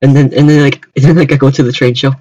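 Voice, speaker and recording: A young American man chatting casually on a livestream, talking haltingly with many repeated starts. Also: mid-range voice, level tone, warm tone, husky, no room sound, muffled recording. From United States. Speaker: streamer.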